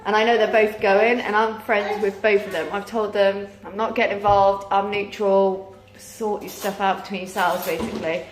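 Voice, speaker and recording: British woman, thirties, mid pitch, casual rambling chat, tired, sore-throated and losing voice, echoey noisy recording. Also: level tone, normal speed, few pauses. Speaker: vlogger, mother. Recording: roomy echo, clear background noise.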